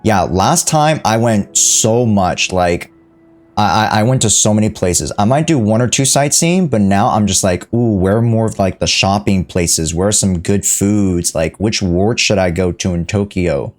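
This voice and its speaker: A woman's voice: extremely low pitch, normal speed, a little mellow, faint music behind. A woman streaming a game, chatting casually with viewers and playfully reacting to and echoing the dialogue. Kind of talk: casual livestream reaction and chat.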